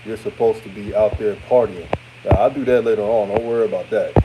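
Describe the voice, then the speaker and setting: A man's voice: on the low side, fairly even intonation, brisk, very noisy. A thirty-year-old man with an older-sounding radio voice, hosting a casual sports livestream and chatting conversationally with viewers. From Houston, Texas, United States. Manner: conversational, addresses viewers as family. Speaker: sports show host. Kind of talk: casual livestream postgame chat, reading and answering comments.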